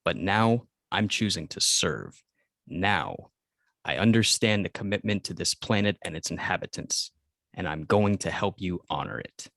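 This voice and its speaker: A man playing a solemn, devoted alien machine guardian with measured delivery in a script table read. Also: deep voice, lively intonation, vocal fry, fairly bright tone, dry recording, studio quality, heavily acted. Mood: solemn, resolute.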